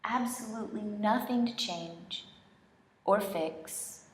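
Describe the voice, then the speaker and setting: Female meditation instructor, very slow and gentle delivery, long pauses, echoey studio. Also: mid-range voice. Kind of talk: slow guided relaxation meditation, soothing instruction. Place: indoor studio, leading a group in person. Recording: roomy, echoing studio sound.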